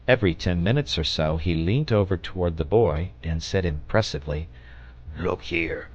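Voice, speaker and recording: A man reading a children's story aloud as an audiobook narrator, in an expressive storybook style. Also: a bass voice, slightly rough. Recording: dull, lo-fi audio.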